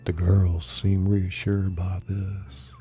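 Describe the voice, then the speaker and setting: A man narrating a scripted dramatic story in a deliberate manner, sometimes hushed. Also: low-pitched, little pitch movement, soft background music. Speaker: narrator of a live story reading.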